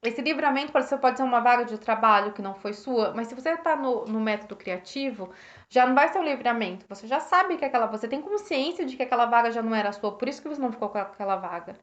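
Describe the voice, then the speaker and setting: A Brazilian woman with a slightly hoarse voice, reflecting casually on a book for her live viewers, with many restarts, thanking people by name. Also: fairly high pitch, animated, fast talker. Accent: Brazilian Portuguese. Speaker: host reading and reflecting on a self-help book. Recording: roomy, dull lo-fi live stream.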